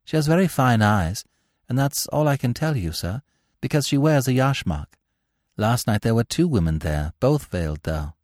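A man's voice: mid-range voice, animated, moderate pace, darkish tone, dry recording, studio quality, voice pushed up, natural voice. A man voicing a respectful former soldier who gives a reluctant confession in an audiobook. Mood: cooperative, respectful. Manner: hesitant, respectful. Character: deferential male ex-soldier confessing as a bribed witness.

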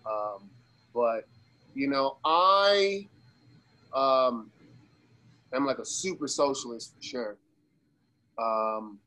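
A male interview guest from Las Vegas speaks in a mid-pitched voice while ill with pneumonia. His talk is casual and slangy, halting as it goes, and he repeats words for emphasis. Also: a bit bright, poor audio. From Las Vegas, USA. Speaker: guest, socialist activist.

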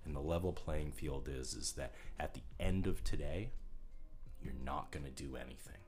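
Podcast co-host, young man, very deep low voice, rambling and self-repeating, faint music under it. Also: moderate pace, darkish tone. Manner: rambling, repeats words when starting sentences, laughs often. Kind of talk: casual podcast chat.

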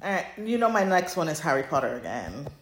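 A woman with a low-pitched voice talks casually, like a podcast co-host retelling a story to a friend. Her delivery is informal and conversational. The recording is roomy, with noticeable echo around her voice. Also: sing-song, fast. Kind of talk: casual chat, recapping fan fiction.

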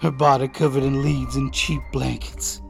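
An actor playing a desperate, protective father in a post-apocalyptic audio drama, narrating tensely and deliberately in the first person. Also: mid-range voice, flat delivery, creaky, soft background music, lightly acted. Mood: urgent, fearful.

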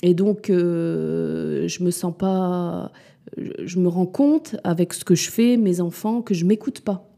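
Hesitant, reflective woman in her forties with a mellow voice. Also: on the low side, takes it slowly, vocal fry. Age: 40s. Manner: hesitant and reflective, many fillers and restarts. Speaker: podcast guest, mother and business owner. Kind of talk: candid interview conversation.

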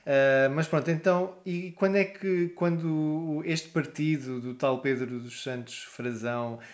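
Teasing podcast co-host. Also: male voice, a little high, a little slow. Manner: teasing, laughs often. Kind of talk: casual chat.

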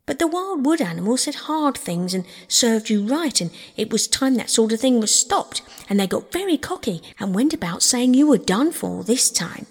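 A woman voicing an earnest, excitable male river rat, a loyal friend who speaks emphatically in an old-fashioned way in a storybook. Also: a little high, normal speed, few pauses, slightly rough, in a large hall, heavily acted.

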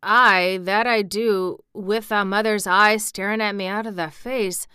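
Woman acting old man, Yorkshire accent, gruff gardener, hoarse quaver, bright forceful edge, storybook character. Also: medium pitch, steady tone, measured pace, no room sound, studio quality. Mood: shaken, incredulous.

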